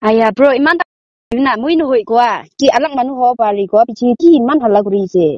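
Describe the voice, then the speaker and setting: A woman's voice: a little high, fast talker, a little mellow, no room sound, lo-fi sound. A woman in a casual phone argument, protesting defensively and sounding exasperated. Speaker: prank call recipient.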